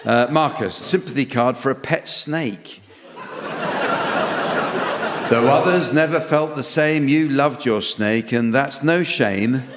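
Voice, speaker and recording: A man chairing a comedy radio panel game, reading out verses and jokes in a dry, deadpan way. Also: mid-range voice, takes it slowly. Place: theatre stage before an audience. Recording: noisy hall with long reverb.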